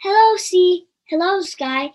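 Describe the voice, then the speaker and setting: A woman voicing a joyful, bright-calling God. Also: very high-pitched, lively intonation, takes it slowly, pitched above the performer's own voice, heavily acted. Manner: cheerful calls of greeting. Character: joyful creator God, booming with delight.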